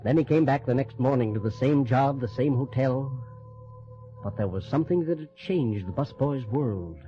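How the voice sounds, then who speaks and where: A man's voice: mid-range voice, animated, moderate pace, mellow, faint music behind, lightly acted. A man narrating a vintage radio drama in a solemn, lyrical, scripted storytelling style. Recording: muffled vintage radio recording.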